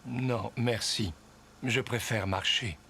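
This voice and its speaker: A man voicing a polite, dignified executive in an animated cartoon, formal and earnest. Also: mid-range voice, takes it slowly, gravelly, a little hiss or hum, full character voice. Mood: composed. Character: courteous, dignified male executive, earnest and calm.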